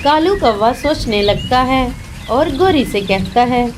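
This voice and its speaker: A woman's voice: high voice, animated, slow pace, background noise. A woman reading scripted narration for a children's cartoon story.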